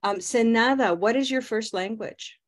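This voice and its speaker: A middle-aged woman teaching English pronunciation on a video call, modeling words slowly and prompting learners. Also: a little high. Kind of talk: slow, clear pronunciation coaching. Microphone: webcam on a video call.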